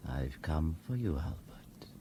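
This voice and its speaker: A man playing a cold, sinister assassin in a radio drama, speaking with deliberate menace over a noisy background. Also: very deep voice, sing-song, full character voice. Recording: clear background noise, outdoor ambience.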